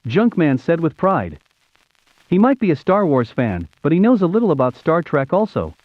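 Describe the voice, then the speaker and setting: A man's voice: on the high side, big pitch swings, normal speed. An American man in his fifties narrating nostalgically in a scripted storybook style. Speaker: YouTube show host. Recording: tinny phone-like narrow-band sound.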